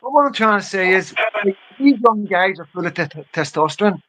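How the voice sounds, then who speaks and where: A man's voice: high-pitched, animated, fairly quick, background noise. An English man in his fifties chatting casually on a livestream, insistent and often repeating his phrases. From England. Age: 50s. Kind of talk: casual opinionated livestream chat.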